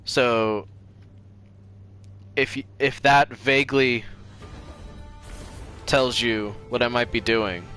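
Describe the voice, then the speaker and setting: A man's voice: mid-range voice, fairly even intonation, slow pace, long pauses, pushed and bright, soft background music. A man chatting casually and a bit ramblingly to viewers while livestreaming a video game. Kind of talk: casual chat to stream viewers.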